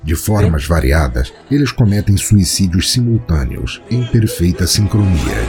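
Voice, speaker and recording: A man with a Brazilian Portuguese accent narrates a scripted horror story in a measured, solemn, dramatic way. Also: low voice, very animated, over music. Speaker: podcast narrator.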